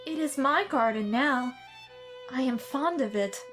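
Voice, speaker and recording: A woman's voice: high voice, unhurried, a bit bright, faint music behind. A woman playing a proud, commanding young boy master in an audio drama, speaking formally and emphatically. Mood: commanding, curious.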